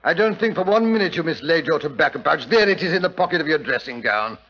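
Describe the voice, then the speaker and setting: Blustering, impatient British doctor sidekick for old radio drama; lively intonation, slightly high voice, muffled vintage sound. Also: male voice, fast, husky, exaggerated voice. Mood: exasperated. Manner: blustering, exasperated, old-fashioned upper-class phrasing. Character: fussy, impatient middle-aged English doctor, loyal sidekick. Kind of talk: old-time radio drama acting and narration. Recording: dull vintage radio recording.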